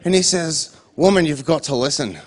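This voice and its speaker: A man's voice: fairly high pitch, moderate pace, natural voice. A man playing a boastful old Scottish golf legend, telling a rambling comic tale in a Scottish accent. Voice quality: rising, excitable ranting. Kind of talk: comedy sketch storytelling.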